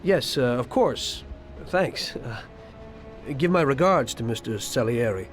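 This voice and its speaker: An actor playing a nervous, honest taxi driver in a video game. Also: male voice, medium pitch, expressive, measured pace, soft background music, close to an everyday voice. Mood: hesitant, polite. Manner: hesitant, stammering when uneasy. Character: nervous, honest male taxi driver, reluctant around gangsters.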